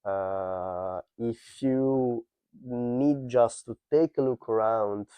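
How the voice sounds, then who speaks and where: A man's voice: mid-range voice, clear, resonant tone, no room sound, muffled recording. A man whose first language is Italian, talking through a project in a business podcast interview with fillers and restarts. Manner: measured, thoughtful, with frequent fillers and restarts.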